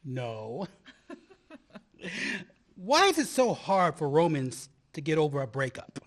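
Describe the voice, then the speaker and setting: A man's voice: a little high, big pitch swings, slow, heavily acted. A man playing a joking young grad student. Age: young adult. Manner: playful, laughs at own jokes. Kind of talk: amateur radio play acting.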